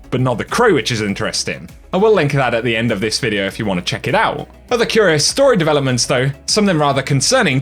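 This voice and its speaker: Male gaming news host: brisk, animated, slightly husky, upbeat, music underneath. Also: mid-range voice. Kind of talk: scripted video game news narration.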